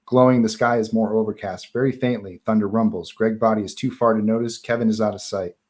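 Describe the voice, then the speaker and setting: A man's voice: on the low side, fairly even intonation, quick pace, darkish tone. A man narrating stage directions at a live table read of a horror screenplay, in scripted narration style.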